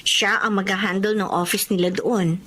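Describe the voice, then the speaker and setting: A woman's voice: mid-range voice, steady tone, measured pace, a bit bright. A woman playing a caring, exasperated young career woman in a radio drama, with a Filipino accent and an earnest, emotional delivery. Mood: pleading, protective. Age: young adult.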